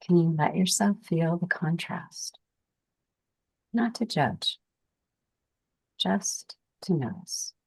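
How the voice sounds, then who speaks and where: A woman leading a guided meditation as its guide, speaking calmly and soothingly in gentle, unhurried phrases with pauses. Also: on the low side, gravelly, husky, no echo, poor audio.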